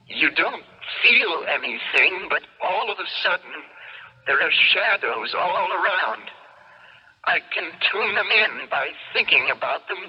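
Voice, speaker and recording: An actor playing a bewildered young American college man in a radio drama, speaking haltingly and earnestly. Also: sits high, breathy edge, exaggerated voice. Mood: wondering. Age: young adult. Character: bewildered college son trapped in another dimension. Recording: muffled vintage radio drama recording.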